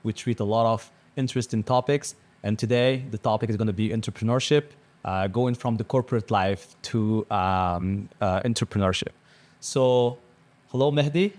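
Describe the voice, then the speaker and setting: A man's voice: on the low side, moderate pace, a little mellow. A man hosting a podcast, speaking in a casual interview style. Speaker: podcast host.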